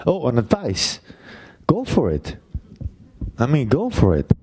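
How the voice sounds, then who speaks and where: A middle-aged man with a Puerto Rican accent, a playwright answering audience questions on a university stage into a handheld mic, halting, with many fillers. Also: medium pitch, huge pitch swings, a little mellow, husky. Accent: Puerto Rican Spanish. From Puerto Rico. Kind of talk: Q&A talk after a play.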